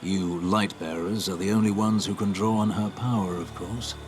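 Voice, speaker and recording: An actor playing a genteel, hopeful soldier in a video game, with a courteous, reflective manner. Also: male voice, low voice, fairly even intonation, moderate pace, natural voice. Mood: warm, amused. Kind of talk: video game cutscene monologue. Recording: background music under the voice.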